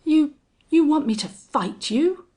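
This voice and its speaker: A woman voicing a cowardly, blustering young man in a western audiobook, excitable and prone to stammering. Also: mid-range voice, measured pace, vocal fry, breathy edge, exaggerated voice. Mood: alarmed, stammering. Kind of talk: audiobook character acting.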